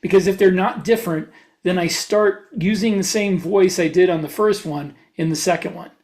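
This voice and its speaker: A man's voice: sits high, moderate pace, darkish tone. A man co-hosting a podcast, talking casually as a writer giving advice. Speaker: podcast co-host, fiction writer. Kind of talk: casual chat.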